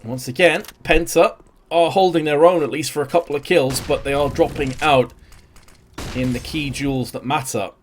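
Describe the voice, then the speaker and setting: A man's voice: fairly high pitch, moderate pace, slight background noise. A young man casting a live esports match, his energy rising and falling with the action. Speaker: esports caster. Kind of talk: live play-by-play commentary.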